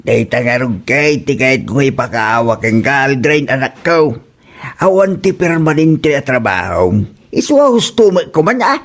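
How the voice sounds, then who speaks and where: A man's voice: medium pitch, very animated, normal speed. A man playing a nagging, exasperated older father with an Ilocano accent in a comedy drama. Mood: scolding. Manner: scolding, exasperated.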